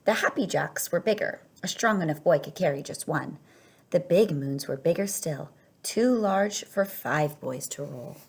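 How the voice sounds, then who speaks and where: Low-pitched woman reading a children's story aloud. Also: lively intonation, a little slow, vocal fry, a little mellow, a little hiss or hum.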